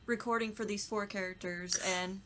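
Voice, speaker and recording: A woman's voice: medium pitch, steady tone, moderate pace, fairly bright tone, slight background noise. A woman who works as a voice actor, chatting casually on a podcast panel. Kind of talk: casual chat.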